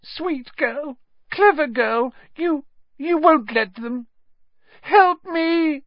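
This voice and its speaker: A man voicing a cringing, cowardly male traitor in a high, whining, tearful, gasping voice, pleading desperately in an audiobook story. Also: drawn-out words, dry recording, muffled recording, heavily acted. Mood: fawning pleading. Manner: grovelling, repetitive, desperate pleading.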